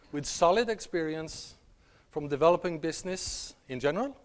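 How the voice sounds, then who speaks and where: Male diplomat with a slightly raised voice reading formal, measured welcome remarks. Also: a little high. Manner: formal, measured, brief self-aware aside. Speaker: embassy official giving opening remarks. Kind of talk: formal prepared speech.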